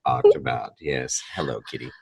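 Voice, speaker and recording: Very deep, husky man telling stories. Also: animated, a little mellow. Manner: halting, acts out remembered dialogue. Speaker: podcast guest, audio drama creator. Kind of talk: conversational interview storytelling.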